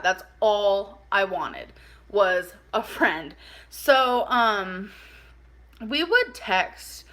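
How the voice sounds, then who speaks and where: A woman chatting casually through a personal story for a vlog, animated and informal, saying "like" a lot and acting out bits of dialogue. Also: medium pitch. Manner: animated, informal, acts out dialogue, heavy use of "like". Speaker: vlogger telling a personal story.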